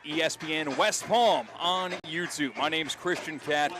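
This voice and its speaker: A man with a fairly high voice works as a play-by-play football announcer. His delivery is emphatic and wordy, in live broadcast style, with a clearly audible stadium crowd behind him. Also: measured pace. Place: outdoor stadium broadcast position.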